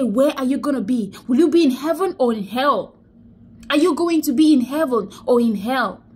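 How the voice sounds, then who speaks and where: A woman's voice: high-pitched, breathy edge. A young woman talks close into her phone indoors, grieving and then preaching, repeating her phrases for emphasis. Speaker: vlogger. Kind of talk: emotional selfie vlog, preachy. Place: indoors, small room. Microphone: phone held close to face.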